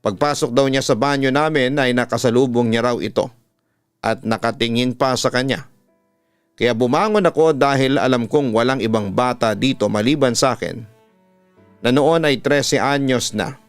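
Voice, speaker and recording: Filipino guy narrating a spooky listener letter, smooth clear voice, bit of music under him. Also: mid-range voice, moderate pace, no echo. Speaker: host and narrator of a listener-story channel, radio announcer. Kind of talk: dramatic reading of a listener's horror letter. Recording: music bed behind the voice.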